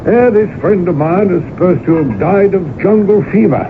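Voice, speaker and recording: An actor playing a boastful, genial colonel who spins tall tales in a pompous, hearty radio-drama manner. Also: male voice, high-pitched, moderate pace, close to an everyday voice. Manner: pompous, hearty, old-fashioned clubman phrasing. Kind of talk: 1980s radio drama acting. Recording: tinny, noisy old radio broadcast recording.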